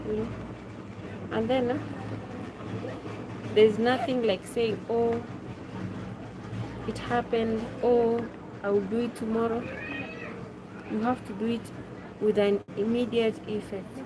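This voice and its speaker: Slow-talking Kenyan woman, casual chat. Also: on the high side, level tone. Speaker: live streamer. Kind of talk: casual chat, reading and answering viewers' questions. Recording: clearly noisy background.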